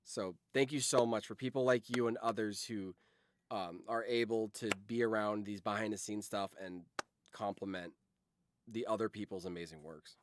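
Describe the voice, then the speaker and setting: A man's voice: lowish voice, steady tone, normal speed, noisy background. A man hosting a livestream in casual chat, rambling and swearing freely as he talks. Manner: rambling, profane. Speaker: livestream host.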